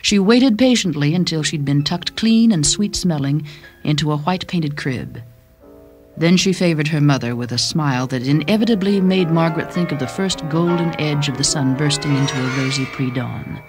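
A woman narrating a short story as a dramatic, expressive audiobook reading. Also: low voice, normal speed. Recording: music under the reading. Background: background music.

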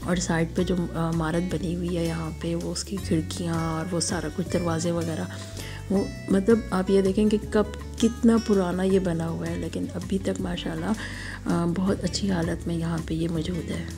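A hoarse woman from Pakistan with a sore throat, narrating a casual, admiring walking tour for her vlog. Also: on the low side, little pitch movement, normal speed, music in the background. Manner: chatty, admiring, guiding viewers along. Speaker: travel vlogger.

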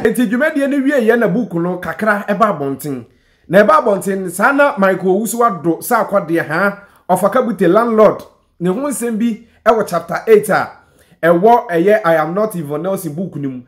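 A man's voice: sits high, animated, normal speed. A Ghanaian man, a Twi speaker, giving chatty news commentary from a room to a webcam. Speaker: online news presenter. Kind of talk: casual commentary. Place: indoor room.

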